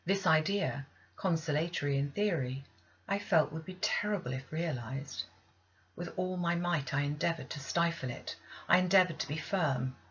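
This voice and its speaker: A woman's voice: low voice, normal speed, husky. A woman reading a classic novel aloud as literary first-person narration, in a dull, lo-fi recording. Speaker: audiobook reader.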